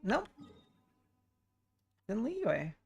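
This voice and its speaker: A woman's voice: fairly high pitch, huge pitch swings, extremely slow, gravelly, a little mellow, highs cut off, slight background noise. A woman livestreaming a video game, chatting casually and making short offhand remarks to herself as she plays. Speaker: streamer, VTuber. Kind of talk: casual gameplay livestream chat. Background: video game music and sounds.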